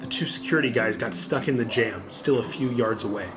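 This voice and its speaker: A man's voice: mid-range voice, a little fast, crowd noise. A man narrating a scripted adventure audiobook as the storyteller.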